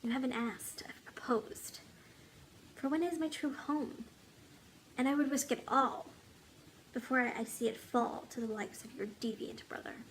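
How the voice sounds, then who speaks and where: An earnest, wise and loyal woman of a storybook kingdom, voiced by a female reader. She speaks in measured phrases at a raised pitch, with faint noise behind her. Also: low-quality recording. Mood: resolute. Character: loyal, determined woman, wise old friend of a king. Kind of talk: storybook character dialogue.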